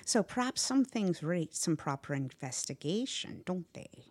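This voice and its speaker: A woman voicing a wise, kindly old country servant in a storybook, folksy, coaxing and unhurried. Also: lowish voice, big pitch swings, darkish tone.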